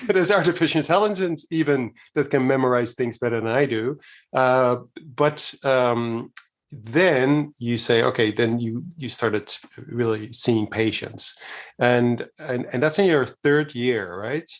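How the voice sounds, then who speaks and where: A man's voice: mid-range voice, a little slow. Middle-aged man, interview host, rambling questions, many fillers. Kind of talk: conversational interview.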